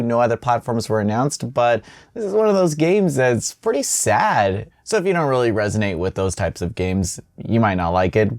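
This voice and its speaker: A man with a mid-pitched voice hosts a casual video game news roundup. He reads out the news informally and adds offhand personal opinions as he goes. The sound is dry, with very little room echo. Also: a little mellow. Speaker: host of a gaming news show.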